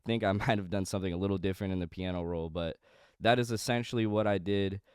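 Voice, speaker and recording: A man's voice: low-pitched, steady tone, quick pace, clean tone, dry recording, studio quality. Congested, slightly nasal young man. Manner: rambling with many fillers and restarts. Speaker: music producer. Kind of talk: casual studio walkthrough.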